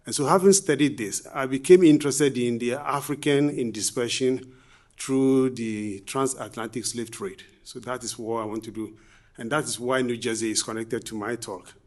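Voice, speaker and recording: A man from Ghana speaks at a history conference with a voice of middling pitch. He delivers his lecture in a measured way and often pauses on "uh" and "um". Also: a little mellow. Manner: measured, with frequent uh and um fillers. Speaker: guest speaker. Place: conference hall.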